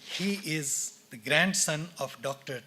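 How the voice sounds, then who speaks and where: A man with an Indian English accent, giving a measured, formal read speech at a university convocation from a podium in a lecture theatre. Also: a little high, bright, forceful tone. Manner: measured, formal, reads names and titles deliberately. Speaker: department head speaking at a convocation.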